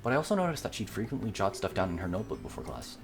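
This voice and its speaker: An easygoing, teasing young demi-human girl from a visual novel, fond of her best friend, read by a man in a fairly low voice. The delivery is animated, with music behind it. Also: racing, creaky, heavily acted.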